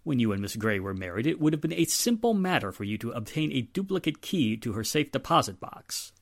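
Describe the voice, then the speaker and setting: A commanding old-time radio inspector, acted by a man, formal and stern. The mid-pitched voice moves through a wide range, recorded close and dry. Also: very animated, a little fast, no echo. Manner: authoritative, formal. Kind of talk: 1940s radio drama acting.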